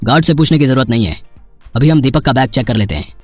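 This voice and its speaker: A man's voice: mid-range voice, expressive, fast, no echo, faint music behind, lightly acted. A man voicing a harsh, snobbish cartoon school principal who scolds with contempt. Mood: harsh, contemptuous.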